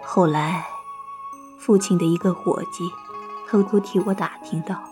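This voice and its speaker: A woman acting a gentle, sorrowful Buddhist nun master, speaking in a measured, wistful way in a Chinese costume audio drama. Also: on the low side, steady tone, over music, close to an everyday voice.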